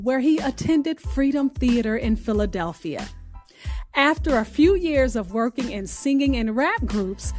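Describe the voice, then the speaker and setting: High-pitched woman hosting radio over music. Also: a little mellow. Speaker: radio show host. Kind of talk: scripted radio show introduction. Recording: music bed behind the voice.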